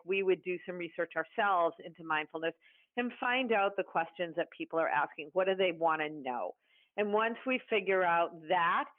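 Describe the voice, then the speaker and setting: A woman's voice: on the low side, no room sound. A woman explaining her work step by step in a conversational podcast interview, as a guest and company founder. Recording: tinny phone-like call audio.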